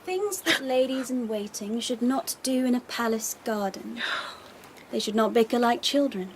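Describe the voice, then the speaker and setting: An actress playing a haughty, icy young empress in a radio drama, imperious, formal and measured in her disdain. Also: a little high, slight background noise, lightly acted. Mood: cold reproof.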